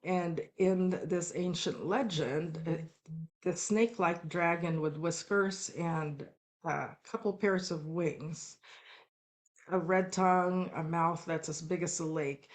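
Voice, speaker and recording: A middle-aged woman from the Philippines with a notably low voice gives an unscripted teaching talk. She speaks in a relaxed way, filling pauses with ums and starting sentences over as she goes. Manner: relaxed, with frequent ums and restarts. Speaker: spiritual course teacher.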